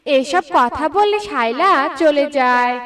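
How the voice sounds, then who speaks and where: High-pitched woman narrating a children's story. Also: expressive, slow, a bit bright, far from the mic.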